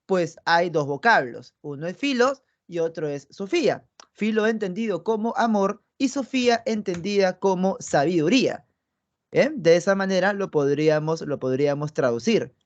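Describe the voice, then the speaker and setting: A young man teaching philosophy in an online class. He talks to his students chattily and affectionately, tagging his phrases with quick checks like "¿no?" and "¿ya?". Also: sits high. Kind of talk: online pre-university lecture. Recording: muffled lo-fi audio.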